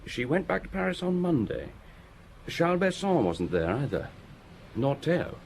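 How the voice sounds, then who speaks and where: An actor playing a diligent local police inspector who reports his findings in a brisk, matter-of-fact way in a radio drama. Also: fairly high pitch, big pitch swings, vocal fry, a little mellow, slight background noise.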